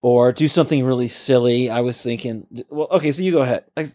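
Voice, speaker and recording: A man co-hosting and editing a casual podcast, breaking in often and talking in quick bursts. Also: medium pitch, warm tone. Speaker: podcast co-host and editor.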